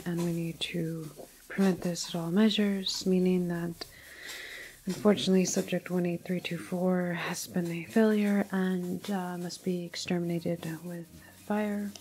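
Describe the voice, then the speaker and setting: Woman doing a detached lady doctor, asmr roleplay, slow halting med log, soft half whisper, pretty flat. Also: fairly low pitch, rough recording. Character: clinical female doctor logging a doomed quarantined patient.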